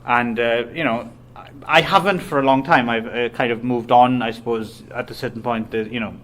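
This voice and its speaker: A man's voice: medium pitch, fairly even intonation, normal speed, a bit bright. A man co-hosting a casual movie podcast, talking with dry, self-mocking humor. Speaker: podcast co-host and author. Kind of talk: casual chat.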